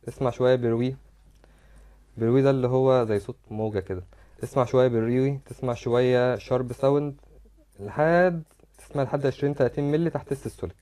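A man's voice: mid-range voice, fairly even intonation, fast, warm tone. A man with an Egyptian Arabic accent giving a medical lecture over slides, explaining step by step and repeating words as he demonstrates.

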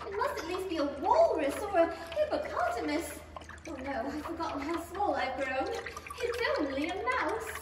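A woman's voice: very high-pitched, big pitch swings, normal speed. A woman playing a polite, bewildered young girl in a 1930s-style radio play. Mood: worried, wondering. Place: theatre stage, no live audience. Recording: distant, echoey hall with loud noise.

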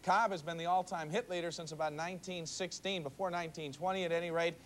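A male news anchor in a studio gives a live broadcast with a fairly high voice. He ad-libs loosely between reports. The old broadcast audio carries a faint hiss. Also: fairly even intonation, quick pace, fairly bright tone. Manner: conversational anchor ad-libbing.

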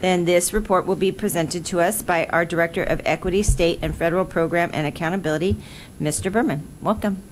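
A man chairing a school board meeting, calling motions and items in a brisk procedural manner. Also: high voice, steady tone, slight background noise, low-quality recording. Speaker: board president.

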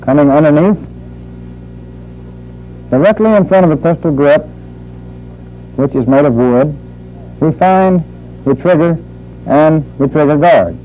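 Male army instructor for vintage training film, higher-pitched voice, formal and deliberate, thin phone-like sound. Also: expressive, a little hiss or hum. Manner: formal, measured, deliberate phrasing. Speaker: military weapons instructor.

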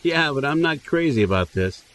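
A man's voice: a little high, lively intonation, normal speed, fairly bright tone. A man playing a reluctant, grumbling video analyst friend in an audio drama, with a wry, complaining manner. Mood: grumbling, uneasy.

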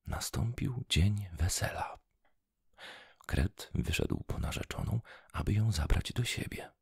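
A man reading a fairy tale aloud in a soft, slow whisper. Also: low-pitched, expressive, vocal fry, slightly rough, no room sound, clean studio sound. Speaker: storyteller.

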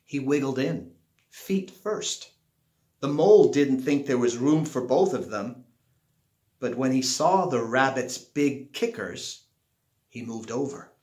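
A man's voice: mid-range voice, animated, slow, a little mellow. A middle-aged man reading a children's picture book aloud at home, stressing and drawing out key words as a storyteller. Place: small living room at home, indoors. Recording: roomy, echoey home recording.

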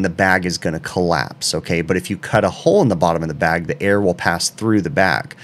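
Deep-voiced male tradesman, instructional explainer, steady and patient, keeps asking "okay?". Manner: explains step by step, often checks in with "okay?". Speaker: HVAC technician explaining a repair.

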